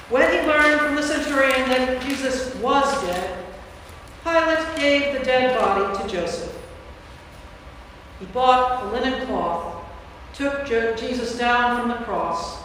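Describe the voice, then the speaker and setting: A woman's voice: fairly high pitch, fairly bright tone. A woman priest reading scripture aloud as the narrator of a staged reading. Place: church, live before audience. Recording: very echoey church, distant mic, faint noise.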